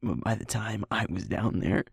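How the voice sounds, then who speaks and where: A man playing a guilt-ridden young werewolf boyfriend in an intimate audio roleplay, speaking haltingly and rawly in a husky, creaky, breathy voice. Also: lowish voice, dry recording, clean studio sound, heavily acted. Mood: grieving, hopeless. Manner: halting, emotionally raw, broken-up phrases.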